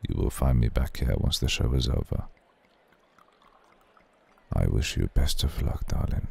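Man voicing an extremely deep, gravelly old vampire lord. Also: animated, a little mellow, heavily acted. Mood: soothing, seductive. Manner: formal, unhurried, seductive. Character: suave, centuries-old male vampire lord, courteous, cool, possessive. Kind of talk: ASMR roleplay.

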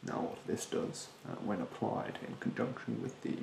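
A young man playing a rude, snobbish, fastidious facial therapist in a soft, half-whispered ASMR roleplay, haughtily polite and hesitant. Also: on the low side, vocal fry, echoey room, a little hiss or hum. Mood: fussy, instructive. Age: young adult. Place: bedroom, indoors. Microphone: close mic in front.

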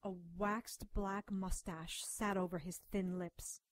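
A woman's voice: medium pitch, steady tone, takes it slowly, no echo, lightly acted. A woman playing a feisty, outspoken doctor who also narrates her supernatural case in a radio drama. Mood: narrating. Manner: defiant, outspoken. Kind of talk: radio drama acting with first-person narration.

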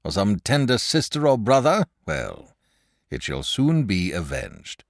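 Solemn kindly knight, man doing the voice, gentle, old-timey way of talking, lots of lilt. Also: lowish voice, huge pitch swings, measured pace, gravelly, no echo, studio quality, full character voice. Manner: grave, gentle, old-fashioned speech. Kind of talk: storybook reading.

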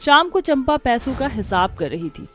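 A woman's voice: sits high, sing-song, normal speed, fairly bright tone, faint music behind. A woman reading scripted narration as the storyteller of a cartoon tale.

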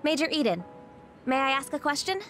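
A woman playing a sweet, polite young recruit in an anime game, gentle and tentative. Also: sits high, lively intonation, a little fast. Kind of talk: English anime-game voice acting. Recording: music behind the voice.

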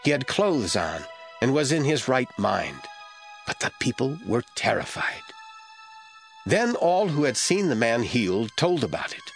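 A man narrating a Bible audio drama as scripted, expressive storytelling. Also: mid-range voice, a little slow, soft background music.

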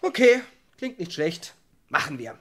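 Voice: mid-range voice, very animated, measured pace, a bit bright. A performer playing a good-humoured, sceptical teenage boy in a children's audio drama, questioning and easygoing. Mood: sceptical, amused.

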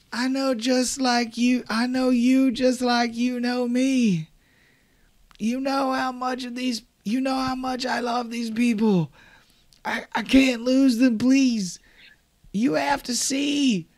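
A man voicing a desperate old woman who pleads with a monster, rising to shouts. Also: extremely high pitch, level tone, slow, pitched above the performer's own voice, full character voice. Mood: desperate pleading.

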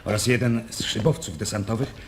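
An actor playing an outspoken, talkative German officer in a Polish radio drama, with a declamatory yet conversational delivery. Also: male voice, lowish voice, steady tone, brisk, background noise, natural voice. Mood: musing, outspoken.

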